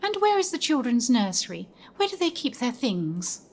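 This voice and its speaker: A woman's voice: on the high side, sing-song, normal speed, a little mellow. A woman playing an anxious, curious new governess in an audio drama, earnest and questioning. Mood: uneasy curiosity. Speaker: amateur actor. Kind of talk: community audio drama acting.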